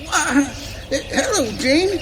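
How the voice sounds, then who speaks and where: Man acting a high-pitched, halting old prospector. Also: expressive, noisy background, full character voice. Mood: surprised, obliging. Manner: hesitant, halting, humble. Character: obliging old former ranger living in a mine shack. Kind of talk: western radio theater acting. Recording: reverberant hall.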